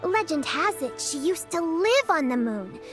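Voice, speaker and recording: A woman's voice: very high-pitched, very animated, unhurried, soft background music, exaggerated voice. A woman voicing a cheerful, caring young girl in a video game, patiently explaining things in a singsong, reassuring way. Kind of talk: video game character dialogue.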